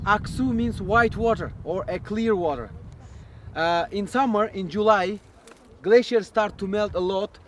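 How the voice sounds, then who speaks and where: A man from South Kazakhstan with a Kazakh accent, working as a tour guide and explaining slowly with many pauses outdoors. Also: high-pitched, background noise. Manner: slow, hesitant, many pauses. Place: outdoors at a canyon.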